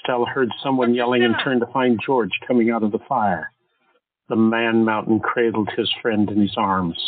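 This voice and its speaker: A man narrating an audio drama in a steady storytelling manner. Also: medium pitch, normal speed, no echo. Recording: tinny phone-like sound, faint music.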